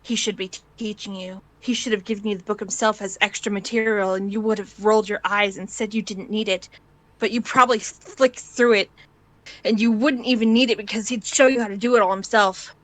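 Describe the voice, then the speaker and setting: A woman's voice: mid-range voice, steady tone, fairly quick, no room sound, rough recording. A woman narrating a piece of fan fiction in the second person, as an amateur reader.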